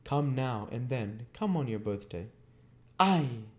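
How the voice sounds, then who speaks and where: A man's voice: medium pitch, expressive, slow pace, darkish tone, pitched above the performer's own voice. A man voicing a bitter, imperious old woman from a classic novel, speaking in abrupt, taunting exclamations. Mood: restless, commanding. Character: Miss Havisham, bitter imperious old woman, maliciously gloating.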